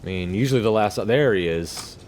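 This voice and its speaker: A man with a fairly low voice talks through his own gameplay. He is casual and laid-back, with some swearing, and there is faint noise behind him. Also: lively intonation, fairly quick, a little mellow. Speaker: gamer narrating his own gameplay video.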